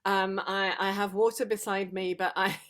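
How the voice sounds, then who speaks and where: A middle-aged woman, hoarse from long days of talking, giving an earnest reflective talk on a video call with many ums and restarts. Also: mid-range voice, little pitch movement, unhurried, a bit bright. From England, Sheffield. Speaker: guest speaker.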